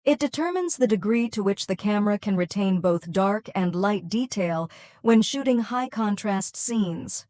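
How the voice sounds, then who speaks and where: A woman's voice: medium pitch, a little mellow, no room sound, clean studio sound. A woman student reading out a scripted explainer presentation step by step.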